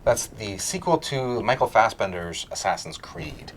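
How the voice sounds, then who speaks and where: A man's voice: lowish voice, very animated, unhurried, low-quality recording. A man co-hosting a casual chat about movies and games. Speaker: co-host. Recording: faint background noise.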